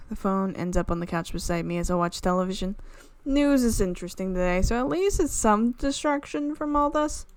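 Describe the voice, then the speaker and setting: A woman streamer reading a visual novel aloud in an expressive storytelling way, with asides. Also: medium pitch, smooth, dry recording. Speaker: video game streamer. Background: game music.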